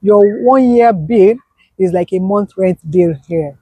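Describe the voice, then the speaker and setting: A woman's voice: mid-range voice, slow, slightly rough, no echo, phone-quality sound. A woman, a business owner, speaking as an interview guest and emphatically repeating her key points. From Nigeria. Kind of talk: conversational interview.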